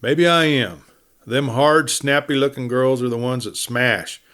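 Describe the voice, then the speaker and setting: A man's voice: mid-range voice, unhurried, fairly bright tone, close to an everyday voice. A man acting a folksy, preachy tough cowboy. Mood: pitying, preachy. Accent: American Western. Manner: drawling, folksy lecturing.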